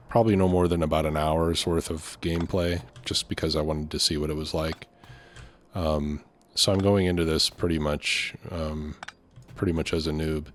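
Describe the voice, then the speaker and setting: A man with a slightly congested voice from a lingering cold, hosting a casual let's play and thinking aloud hesitantly. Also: deep voice. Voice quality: slightly stuffy, congested nose. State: getting over a cold. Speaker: gaming video host.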